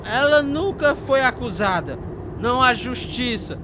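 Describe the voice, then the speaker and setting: An actor playing a resentful, hot-headed young drinker out for revenge in a radio drama. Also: male voice, very high-pitched, animated, unhurried, background noise. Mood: bitter, vengeful. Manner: bitter, defiant.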